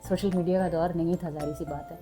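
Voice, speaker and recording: Young woman vlogger; relaxed chatty voice-over, mellow timbre. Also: on the low side, quick pace, faint music behind. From Pakistan, southern Punjab. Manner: chatty, mixes in English words, frequent fillers. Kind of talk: casual chat to subscribers.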